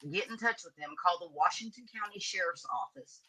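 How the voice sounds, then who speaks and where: A woman's voice: on the low side, fairly quick, pushed and bright, poor audio. A woman hosting a true crime live stream, talking casually and addressing her listeners directly.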